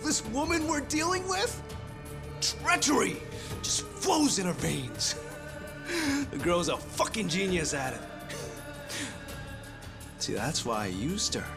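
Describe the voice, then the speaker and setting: An actor playing a sadistic, mocking gangster villain in a video game cutscene, theatrical and falsely apologetic. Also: male voice, high voice, big pitch swings, slow pace, vocal fry, long pauses, exaggerated voice. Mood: amused, gloating. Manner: taunting, mock-apologetic, swinging from murmurs to exclamations. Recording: music under the voice.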